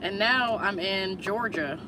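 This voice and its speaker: A woman's voice: medium pitch, slow, background noise. A woman in her thirties from the Chicago area, chatting bluntly and candidly with viewers on a casual livestream and swearing freely. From Chicago area, USA. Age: 30s. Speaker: vlogger answering viewers live.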